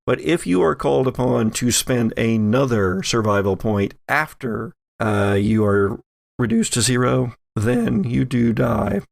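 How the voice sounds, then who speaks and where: A man narrating a tabletop roleplaying game conversationally as game master, pausing to think as he goes. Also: mid-range voice, animated, gravelly, dry recording.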